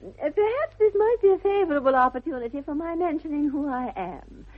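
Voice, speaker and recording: A woman's voice: sits high, expressive, fairly quick. An actress playing a haughty, self-assured young aristocratic lady with an upper-class English accent and affected, precise politeness, in a 1960s radio comedy of manners. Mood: haughty, candid. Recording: dull vintage 1960s radio recording.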